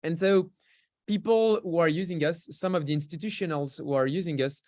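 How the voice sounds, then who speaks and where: A man's voice: fairly high pitch, fairly quick, darkish tone, no echo. A young man from France with a French accent, a podcast guest explaining things in an easygoing way, with many fillers and restarts. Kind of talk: casual interview talk.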